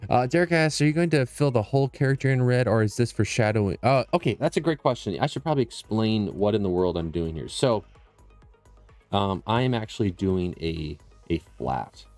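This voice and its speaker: A low-voiced man casually hosting a painting livestream, rambling and restarting his sentences as he works. He talks close to the mic, and faint music plays behind him. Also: expressive, a little fast, darkish tone, no echo. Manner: rambling, self-interrupting, explains as he works. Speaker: livestream host and digital painter. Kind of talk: casual live-stream chat while painting. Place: small room with tile floors. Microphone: close condenser mic with processing.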